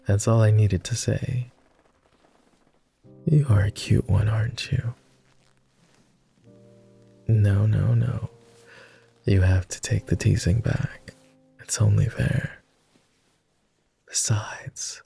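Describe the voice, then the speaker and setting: A man playing a tender, easily flustered vampire lord with formal phrasing and a soft, gravelly, breathy voice, in an intimate ASMR boyfriend roleplay. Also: lowish voice, fairly even intonation, takes it slowly, long pauses, faint music behind, exaggerated voice. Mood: flustered, teasing. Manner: hesitant, formal old-fashioned phrasing.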